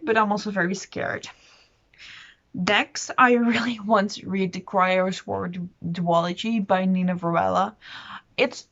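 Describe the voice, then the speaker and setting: A woman with an average-pitched voice chats casually, as if to her viewers on a book vlog. She is enthusiastic and rambles, often poking fun at herself. The recording is a little dull and lo-fi. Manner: enthusiastic, rambling, self-deprecating. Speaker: book vlogger. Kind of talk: casual chatty monologue to camera.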